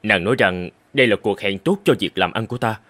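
A man playing a young suitor in an old-time animated fairy tale. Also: lowish voice, fast talker, no echo, close to an everyday voice. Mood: doubtful.

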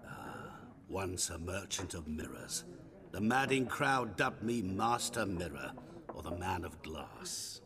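Game role: knowing, theatrical wanderer, low-pitched, busy crowd chatter behind. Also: male voice, very animated, slow pace, exaggerated voice. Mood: smug, mock-humble. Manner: florid, mock-humble, theatrical. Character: sly, ingratiating male vagrant merchant, secretly knowing. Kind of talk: video game dialogue.

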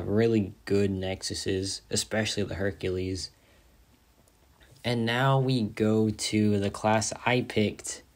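A young man with a raspy, hoarse voice, tired from a long day of talking, chatting excitedly and rambling over his game rewards. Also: on the low side. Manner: excited, rambling, says "like" often. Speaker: mobile game content creator. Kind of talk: casual gameplay commentary.

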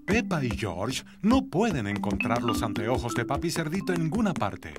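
A man's voice: medium pitch, sing-song, normal speed, loud music behind the voice, close to an everyday voice. A man narrating a children's cartoon in simple storybook style, telling the story to small children. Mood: clear, storytelling.

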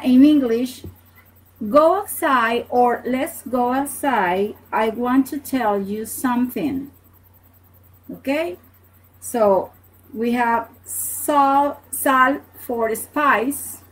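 Middle aged venezuelan lady, native spanish speaker w accent teaching online, really slow n halting, kinda high voice. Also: low-quality recording. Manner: halting, hesitant, repeats words. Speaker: language teacher. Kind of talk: online language lesson. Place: small home office, indoors. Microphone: computer webcam at desk distance.

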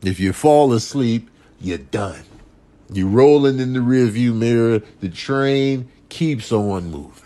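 A man doing a deliberate, solemn and emphatic voiceover. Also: mid-range voice, lively intonation, dark tone.

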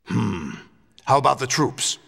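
A disdainful commander in a game cutscene. His forceful voice has a gravelly creak and an imperious air, ringing in long hall reverb. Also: medium pitch, expressive, unhurried, full character voice. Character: commanding, disdainful male villain leader. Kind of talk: video game cutscene acting.

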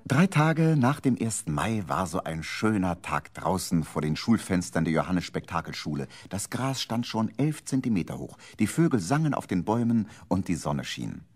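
Male narrator for a children's audio drama, low voice with very varied intonation. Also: darkish tone. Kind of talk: scripted children's radio-play storytelling.

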